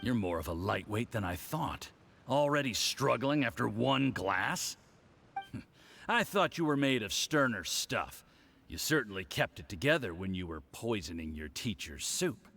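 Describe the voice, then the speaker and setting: A man's voice: fairly low pitch, sing-song, a little slow, vocal fry, fairly bright tone, a little hiss or hum, heavily acted. An actor playing a boastful, commanding male agent in a video game, with a hearty, condescending, theatrical manner. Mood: jovial, goading. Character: boastful, hard-drinking male foreign agent, smugly commanding.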